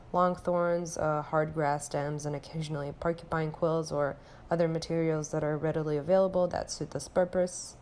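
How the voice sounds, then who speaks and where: A young woman from Romania, an anthropology student, reading a class presentation in an earnest, measured way with frequent filler sounds. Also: low voice, darkish tone.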